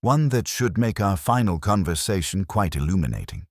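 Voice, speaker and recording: A man acts out a reflective police inspector from a detective storybook, formal and wry. The voice is extremely low and deliberate, with expressive swings in pitch. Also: no echo, studio quality. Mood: wry, musing.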